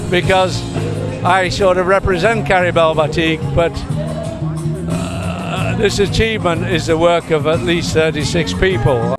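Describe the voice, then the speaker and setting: Man, fairly high voice, halting measured delivery, interview remarks, loud music behind. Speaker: award-winning business representative.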